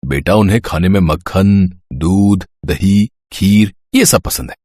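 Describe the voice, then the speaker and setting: A man voicing a gentle, patient young father who explains soothingly in a children's moral story. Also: sits low, unhurried, husky, no echo, studio quality. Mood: patient, explaining. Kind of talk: animated Hindi moral story dialogue.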